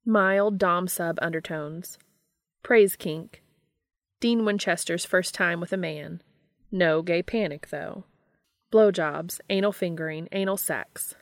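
A woman's voice: lowish voice, slow, no room sound, clean studio sound. A woman hosting a podcast and reading fan fiction aloud as scripted, audiobook-style narration. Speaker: podcast host and reader.

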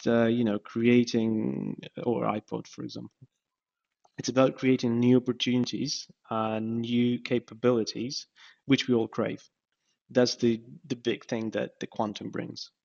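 Gravelly flat-voiced founder, lo-fi audio. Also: male voice, medium pitch. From Ukraine. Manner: hesitant, many fillers and restarts. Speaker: startup founder, guest. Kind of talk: casual interview talk. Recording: dull lo-fi podcast audio.

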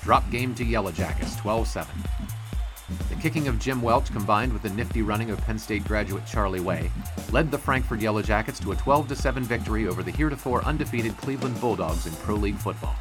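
An actor playing an earnest, skeptical 1920s sportswriter in an audio drama, dry and given to wordy newspaper phrasing. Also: male voice, on the low side, lively intonation, a little fast, over music, close to an everyday voice. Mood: crisp reporting.

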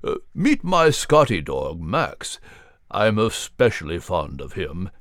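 A man voicing a wise, kindly mentor figure who speaks in a gentle, fatherly, measured way. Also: deep voice, wildly swooping pitch, dry recording, clean studio sound, voice pushed down, heavily acted.

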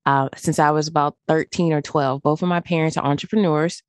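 Woman lawyer guest, very low voice, dry close sound, measured. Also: fairly even intonation, no echo. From United States. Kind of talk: interview answers.

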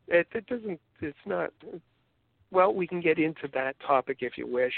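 A Canadian man co-hosting a podcast, rambling through casual chat with many halting restarts. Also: fairly high pitch, lively intonation, creaky, dry recording. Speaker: podcast co-host. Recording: tinny phone-like line.

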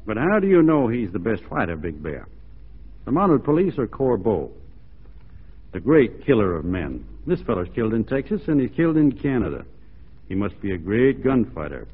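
Folksy, lively Texas lawman, tinny radio. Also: mid-range voice, breathy edge. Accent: American. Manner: drawling, hesitant, folksy. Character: folksy, easygoing but fearless Texas lawman. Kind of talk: 1940s radio acting. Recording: tinny, band-limited 1940s radio recording.

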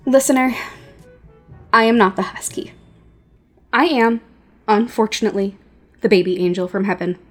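A woman hosts a podcast in a medium-pitched voice. She gives advice in a conversational, lightly scripted monologue, leaning on wry emphasis and repeated words. Faint music plays behind her. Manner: wry, emphatic, with deliberate repetition for effect. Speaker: podcast host giving advice to creators.

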